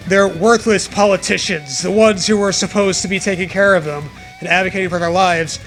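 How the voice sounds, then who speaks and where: A man's voice: high voice, fairly even intonation, brisk, a bit bright, soft background music, rough recording. A man with a mocking, profane manner, reading fan fiction aloud for comedy and bantering casually with a co-host.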